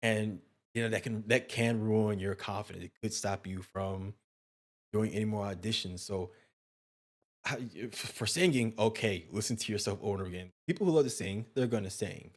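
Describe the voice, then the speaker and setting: A man's voice: fairly low pitch, normal speed. Rambling, self-deprecating male host. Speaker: interview host. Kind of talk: casual interview chat.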